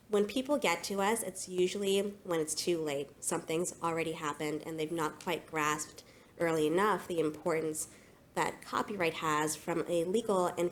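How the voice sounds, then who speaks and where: A woman's voice: low voice, a little hiss or hum. A woman moderating a conference panel, speaking in an organized way and putting questions to panelists.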